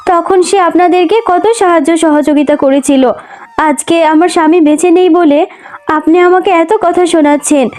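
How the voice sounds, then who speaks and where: A woman's voice: extremely high pitch, fairly even intonation, moderate pace, a little mellow. A woman voicing a poor, sorrowful widowed mother fox, plaintive and pleading, in a children's cartoon story. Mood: hurt, reproachful. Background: music.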